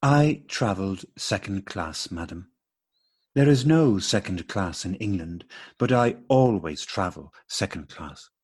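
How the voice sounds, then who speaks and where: Man acting a polite puzzled gent, deepish husky voice, formal n deliberate, radio play. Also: lively intonation, darkish tone, exaggerated voice. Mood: polite puzzlement. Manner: formal, deliberate, mock-astonished. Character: courteous, puzzled English gentleman meeting a lady. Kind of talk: absurdist stage dialogue read as radio play.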